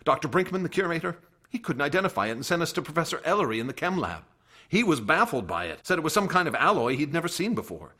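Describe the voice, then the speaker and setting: An earnest young male student in a radio drama. His pitch moves in a lively way and the voice is husky, with a troubled, hesitant, emotional delivery. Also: fast talker, heavily acted.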